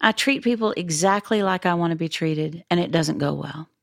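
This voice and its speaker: Low-voiced woman in her sixties, dry sound. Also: moderate pace, creaky, no echo. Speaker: podcast co-host. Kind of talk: casual interview conversation.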